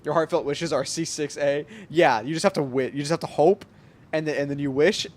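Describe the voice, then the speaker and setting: A streamer giving casual, excitable, exclamatory and joking commentary while playing a video game. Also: mid-range voice, brisk. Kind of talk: casual, reactive gameplay commentary. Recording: game music behind the voice. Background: loud-ish video game music.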